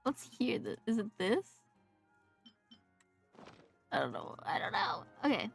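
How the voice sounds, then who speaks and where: A woman's voice: a little high, huge pitch swings, unhurried, gravelly, faint music behind. A woman doing casual live commentary as a game streamer, reacting and thinking aloud as she plays.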